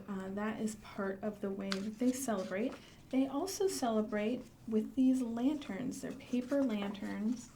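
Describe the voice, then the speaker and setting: High-voiced, unhurried woman, children's storytime. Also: dark tone, a little hiss or hum. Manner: simple explanations addressed to young children. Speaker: children's librarian, storytime host. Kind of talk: children's storytime with rhymes and book talk.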